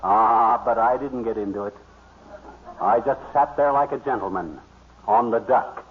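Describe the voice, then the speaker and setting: An actor playing a boastful, bumbling middle-aged husband in a 1950s radio sitcom, with folksy, slangy, bragging talk. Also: male voice, medium pitch, a little slow, phone-quality sound, natural voice. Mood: self-justifying. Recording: noisy, thin 1950s radio recording.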